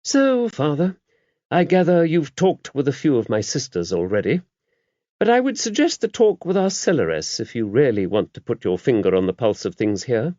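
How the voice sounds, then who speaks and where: A man voicing an older abbess in an audiobook, a shrewd, gently wry woman who talks in a brisk, genteel, confiding way. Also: mid-range voice, sing-song, dry recording, pitched above the performer's own voice, lightly acted. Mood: chatty, shrewd. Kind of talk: audiobook character voice. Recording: dull, muffled lo-fi audio.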